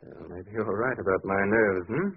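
An actor playing an earnest, weary, rational sanatorium doctor in old-time radio drama style. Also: male voice, lowish voice, normal speed, breathy edge. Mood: uneasy. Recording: muffled vintage radio recording with noise.

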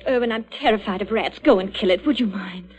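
An actress playing an uneasy, defensive young wife with clipped, evasive replies, in 1940s radio suspense style on a vintage recording. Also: female voice, mid-range voice, fairly quick, a little mellow, husky, close to an everyday voice. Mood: nervous.

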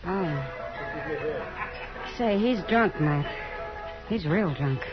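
A woman's voice: lowish voice, expressive, extremely slow. An American actress playing a worldly, friendly frontier saloon woman in a 1950s radio Western, with a familiar, easygoing way of talking. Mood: wary. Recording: muffled old radio recording with music.

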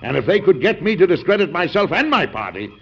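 An actor playing a principled, jovial, stubborn American president in an old-time radio drama, emphatic and folksy. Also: male voice, high voice, big pitch swings, fast, gravelly, slightly rough, exaggerated voice. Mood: amused, hearty. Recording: dull, lo-fi old radio recording.

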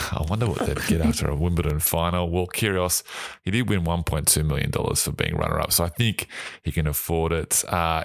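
A man hosting a sports news podcast, with a croaky voice after a sleepless night, upbeat and quick to laugh. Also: sits low, dry recording, clean studio sound. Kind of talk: scripted sports news chat.

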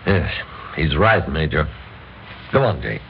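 An actor playing a reasonable, conciliatory committee conspirator who insists on procedure in an old-time radio drama. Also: male voice, extremely low pitch, lively intonation, measured pace, full character voice. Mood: reasoning. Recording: dull, noisy old radio recording.

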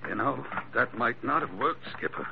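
An actor playing a loyal, grumbling first mate in an old-time radio drama. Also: male voice, mid-range voice, expressive, moderate pace, creaky, background noise. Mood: wry. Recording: muffled old radio recording.

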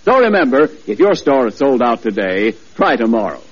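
A man announcer reading a 1940s radio commercial in a polished, emphatic sales pitch. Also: very animated, brisk, husky. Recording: dull 1940s radio broadcast.

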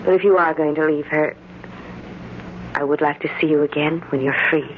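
An actress playing a principled, gently firm young former sweetheart in a 1940s-style radio drama. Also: female voice, deep voice, steady tone, normal speed, natural voice. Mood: earnest, hesitant. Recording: muffled, noisy old radio broadcast recording.

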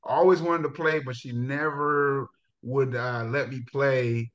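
A middle-aged man from Jamaica, a high school football coach, telling his life story in a relaxed interview with laughs and restarts. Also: measured pace. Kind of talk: casual interview. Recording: dull lo-fi sound.

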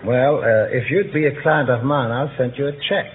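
A man's voice: medium pitch, moderate pace. A man answering as a quiz contestant in a polite, earnest, slightly hesitant way. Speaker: insurance broker, quiz contestant. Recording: tinny old radio recording, faint noise.

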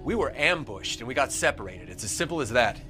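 A man's voice: mid-range voice, expressive, quick pace, sharp tone, faint music behind. A man playing a defensive, combative survivor in an audio drama, curt and confrontational.

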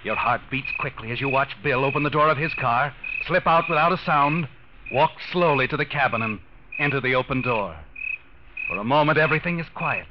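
An American man acting as the ominous, taunting narrator of a 1940s radio crime thriller, telling the story deliberately to the culprit. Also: medium pitch, noisy background, close to an everyday voice. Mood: tense, ominous. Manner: taunting, deliberate, suspenseful second-person storytelling. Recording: dull old radio recording, loud music behind. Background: dramatic music.

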